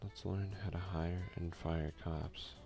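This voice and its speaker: A man doing a soft-spoken, unhurried ASMR game playthrough, reading text aloud with casual asides. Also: low voice, steady tone, vocal fry, soft background music. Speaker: let's play streamer.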